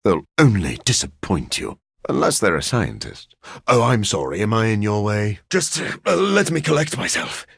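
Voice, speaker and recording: An actor playing a brooding, scholarly vampire in a video game, a man with a husky, gravelly voice and a dry, formal manner. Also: lowish voice, very animated, normal speed, fairly bright tone, dry recording, full character voice. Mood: wry, awkward. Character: brooding, scholarly living vampire scientist, grim and awkward, adult man. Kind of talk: video game character acting.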